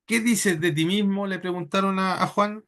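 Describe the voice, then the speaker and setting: A man preaches in a high voice, his plain throughout. He teaches earnestly and haltingly, often repeating words as he gathers his thoughts during a church sermon. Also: steady tone, fairly bright tone. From Chile.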